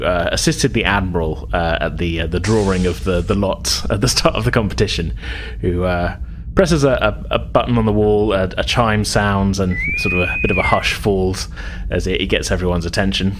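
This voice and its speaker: A man narrating a tabletop game as game master, improvising his descriptions in a halting, hesitant way. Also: fairly low pitch, slight background noise.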